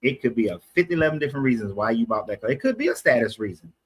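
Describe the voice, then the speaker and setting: A man from Atlanta with a voice pitched right in the middle. He argues point by point, explaining at length and correcting himself along the way. It is a casual street conversation. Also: lively intonation, very fast, a little hiss or hum. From Atlanta, USA. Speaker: street debater.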